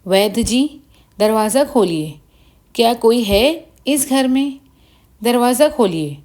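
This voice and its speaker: A woman's voice: a little high, expressive, slow pace, mellow. A woman voicing a poor, kind village boy in a children's story, speaking earnestly and reassuringly. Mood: urgent.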